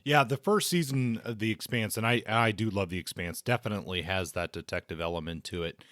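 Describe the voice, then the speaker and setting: A man hosting a podcast, reviewing things in a casual, conversational way with measured opinions. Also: lowish voice, fairly bright tone, no room sound, studio quality. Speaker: podcast host.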